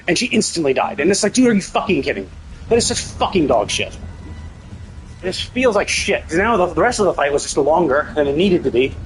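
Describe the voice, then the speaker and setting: Higher-voiced man streaming over background music. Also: sing-song, brisk, low-quality recording. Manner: blunt, heavily sweary venting. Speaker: live streamer. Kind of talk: casual gaming stream commentary.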